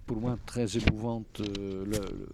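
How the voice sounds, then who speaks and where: Guy, low-ish voice, super slow, keeps restarting and hunting for words in an interview. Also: fairly even intonation, heavy background noise. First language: Flemish. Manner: halting, searching for words, frequent restarts. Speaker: theatre observer interviewee. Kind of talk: reflective interview answers.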